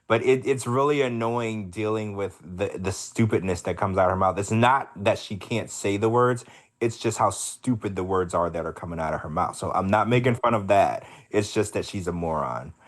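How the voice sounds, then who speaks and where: A man's voice: on the low side, moderate pace. A man co-hosting a casual podcast chat, talking bluntly with plenty of swearing and strong opinions. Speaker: podcast co-host.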